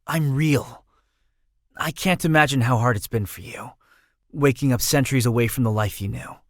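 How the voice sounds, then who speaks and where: A young man voicing a gentle, sentimental exiled prince in a video game, speaking in a heartfelt, boyish, self-conscious way. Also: mid-range voice, normal speed, dry recording, studio quality, close to an everyday voice. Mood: tender, earnest. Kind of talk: video game character acting.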